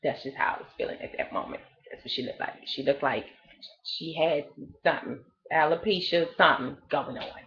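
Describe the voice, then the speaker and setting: A woman's voice: sits low, fairly quick, a bit bright, highs cut off. A woman in her late thirties giving a blunt, profane, rambling gossip review of a reality show in a casual chat. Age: 30s.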